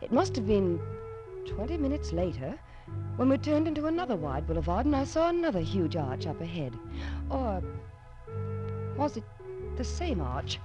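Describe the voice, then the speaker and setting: A woman's voice: medium pitch, sing-song, moderate pace, long pauses, lo-fi sound, lightly acted. Anxious young woman played by an actress in radio drama. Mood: tense narration. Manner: dramatic, urgent, pleading. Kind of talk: 1940s radio drama, first-person narration and dialogue. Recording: old 1940s radio broadcast with music.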